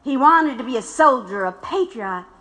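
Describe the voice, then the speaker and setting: Tough old-guard radical widow, kinda high, sharp voice, looking back, radio play. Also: female voice, expressive, normal speed. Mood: wry, resigned. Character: tough, reflective widowed revolutionary woman. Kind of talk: audio drama acting.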